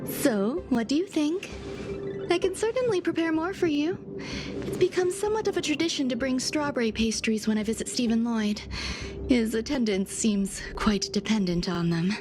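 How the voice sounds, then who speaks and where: A woman playing a serene, aloof genius scientist in a video game, speaking in a measured, formal, gently detached way. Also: fairly high pitch, lightly acted. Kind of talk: video game character acting. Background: music.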